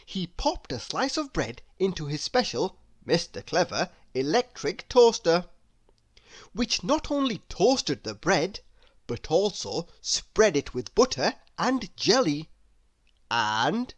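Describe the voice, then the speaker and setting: A woman reading a children's story aloud as an expressive narrator who speaks directly to young listeners. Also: lowish voice, takes it slowly. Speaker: storybook reader.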